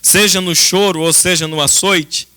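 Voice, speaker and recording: A man's voice: sits high, steady tone, normal speed, bright, forceful tone, clear, resonant tone. A Brazilian man, a pastor, preaching an impassioned church sermon in an emphatic, dramatic manner with much repetition. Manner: emphatic, dramatic, with rhetorical repetition and direct address to the congregation. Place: church service.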